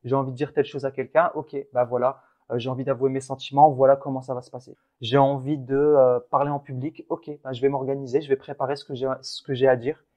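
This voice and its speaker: A man's voice: mid-range voice, level tone, fast, hardly any pauses, warm tone, highs cut off. A man co-hosting a casual motivational podcast, talking in an earnest, encouraging way with frequent restarts. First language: French. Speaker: podcast co-host.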